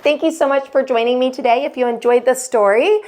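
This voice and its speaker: A woman hosting a children's storytime, reading expressively with deliberate emphasis, like a teacher. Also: high-pitched, a little mellow. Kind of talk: expressive read-aloud storytelling for young children.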